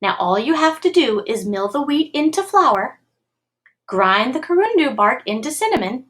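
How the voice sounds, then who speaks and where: A woman reads a children's picture book aloud in the manner of a school storytime. Her voice sits a little high and moves in animated swings of pitch. She tells it expressively, with clear pacing meant for young listeners. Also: normal speed. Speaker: school computer tech reading a storybook aloud to pupils.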